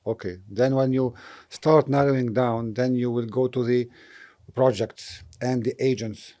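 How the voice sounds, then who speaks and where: Hesitant, mellow-voiced man from Lebanon. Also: mid-range voice, fairly even intonation, unhurried. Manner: thoughtful, hesitant with frequent fillers. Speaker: property investor, interview guest. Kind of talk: casual interview answers.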